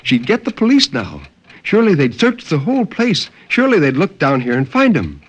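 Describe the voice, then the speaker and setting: A man giving a dramatic, deliberate and intense reading of suspense fiction in 1950s radio style. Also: on the high side, huge pitch swings. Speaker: story narrator. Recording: muffled, noisy 1950s radio recording.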